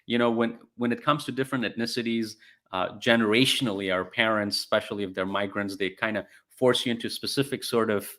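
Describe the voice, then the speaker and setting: A man hosting a conversational interview, speaking in a halting, hesitant way. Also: fairly low pitch, fairly even intonation, bright, forceful tone. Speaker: interview host.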